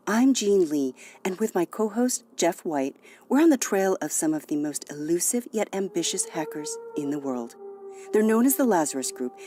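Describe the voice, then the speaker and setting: A woman podcast host reading a scripted promo in a clear, inviting way. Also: on the low side, warm tone.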